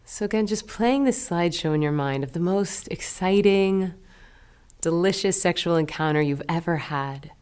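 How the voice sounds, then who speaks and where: A woman leading a guided meditation as a teacher, giving gentle, unhurried instructions with pauses between phrases. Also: fairly low pitch, smooth.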